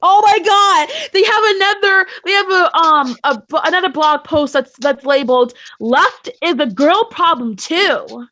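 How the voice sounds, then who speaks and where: A woman with a high voice talks casually as a co-host, reading from articles along the way. Her delivery is halting, with phrases repeated and restarted as she goes. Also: bright, forceful tone. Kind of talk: casual chat, reading blog posts aloud.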